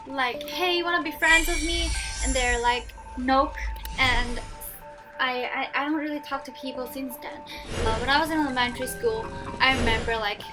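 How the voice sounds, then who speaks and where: Young woman gamer, Cantonese accent, slightly high voice, rambling storytime over game music. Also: steady tone, moderate pace. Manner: chatty, with fillers and restarts. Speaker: gaming vlogger. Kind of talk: casual storytime over gameplay.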